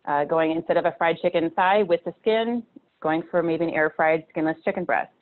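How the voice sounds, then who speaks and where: A woman's voice: low voice, brisk. A woman in her thirties giving a casual nutrition talk over slides, chatty, with many fillers and restarts, from a small room at home. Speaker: nutrition presenter. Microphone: video call webcam. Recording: tinny phone-like video call audio.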